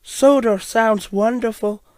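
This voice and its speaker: A man voicing a sweet, wistful little female toy steam engine, gentle and earnest. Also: sits high, takes it slowly, no echo, voice pushed up.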